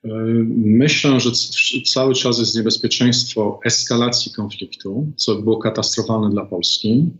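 Middle-aged guy on a video call, slow careful analysis, lots of uhs, roomy dull sound. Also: on the low side, fairly bright tone. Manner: deliberate, many hesitations. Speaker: guest, former ambassador. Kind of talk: measured political analysis.

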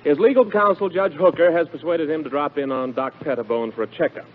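A man's voice: a little high, brisk. A man announcing on 1940s radio with a polished, emphatic sales delivery. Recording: narrow-band noisy 1940s radio recording.

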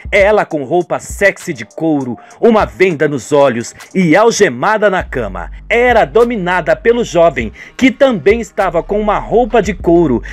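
Brazilian man, high-pitched, very expressive, playful dramatic radio soap narrator, music behind. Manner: playful storyteller addressing listeners.

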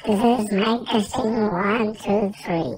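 Guy with a voice changer doing a shaky bleating sheep, high pitched and slow. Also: distant mic, crowd noise, poor audio. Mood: quavering greeting. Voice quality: quavering. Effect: sheep voice-changer filter.

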